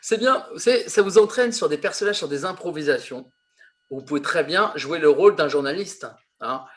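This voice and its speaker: A man's voice: fairly high pitch, lively intonation, moderate pace. A man teaching a teen improv class over a video call, teasing the students with lots of laughs and fillers.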